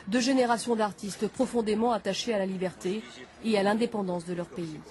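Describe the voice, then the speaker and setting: Woman, mellow dark voice, scripted news narration, measured phrases. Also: mid-range voice, steady tone. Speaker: reporter narrator.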